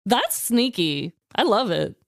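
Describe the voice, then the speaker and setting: Expressive woman podcaster with lively pitch. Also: slow, vocal fry, dry recording, studio quality. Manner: expressive, playfully reactive. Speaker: podcast co-host. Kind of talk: casual comedic chat and storytelling.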